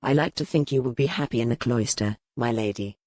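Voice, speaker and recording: A man playing a war-weary, reserved medieval duke in a historical romance audiobook, with measured, formal speech. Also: mid-range voice, darkish tone, no echo, studio quality, close to an everyday voice. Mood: calm, reserved.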